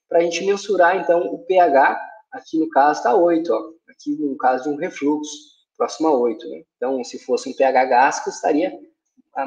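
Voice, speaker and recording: A Brazilian man with a slightly high voice explains clinical material as a lecturing veterinarian. His delivery is halting, with frequent small check-ins to the listener. The sound is quite echoey, as from a room on a livestream. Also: darkish tone, highs cut off. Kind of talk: explanatory online lecture with slides. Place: indoor room, online livestream.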